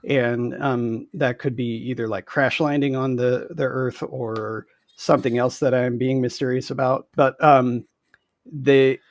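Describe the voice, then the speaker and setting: A man in his thirties, a guest game designer, explaining haltingly on a video call with many "like"s and "um"s. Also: mid-range voice, steady tone, no room sound. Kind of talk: explaining in casual interview. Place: indoor room, on a video call.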